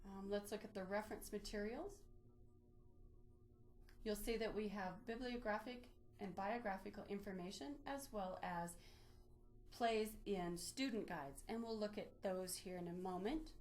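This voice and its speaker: A woman presenting an instructional software walkthrough, explaining methodically step by step in a lightly improvised way. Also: medium pitch, unhurried. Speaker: presenter of a library database tutorial.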